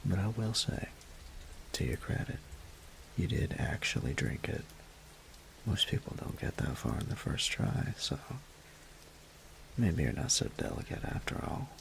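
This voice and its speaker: A very gravelly, low-voiced Southern guy acted out by a man plays the flirty roommate in an intimate audio roleplay. He drawls slowly and half-whispers, teasing as he goes. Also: sing-song, slight background noise, heavily acted. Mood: gently amused. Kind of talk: intimate roleplay audio acting, close and conversational.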